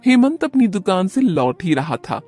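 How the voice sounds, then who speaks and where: A woman serves as storyteller, reading a scripted tale. Her pitch is moderate, and music plays softly behind her narration. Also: very animated, moderate pace.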